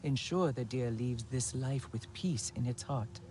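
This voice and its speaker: A man playing a calm, wise alien healer in a video game, gentle and solemn. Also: mid-range voice, a little slow, slight background noise, lightly acted. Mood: serene, instructive. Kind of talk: video game cutscene acting.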